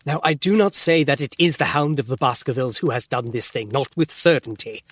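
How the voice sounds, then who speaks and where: An actor playing an earnest, excitable gentleman doctor in an audio drama, formal and emphatic as he tells his tale. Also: medium pitch, a little fast, lightly acted. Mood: urgent, earnest. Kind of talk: full-cast audio drama acting.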